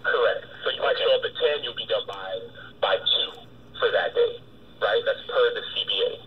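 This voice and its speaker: A man's voice: mid-range voice, lively intonation, normal speed. A man explaining things conversationally, heard through a muffled, tinny and noisy small radio.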